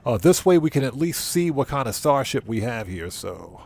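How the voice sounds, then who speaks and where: A man's voice: medium pitch, big pitch swings, moderate pace, vocal fry, a little mellow. A man livestreaming a video game, giving casual commentary and muttering to himself as he plays. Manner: muttering to himself while playing.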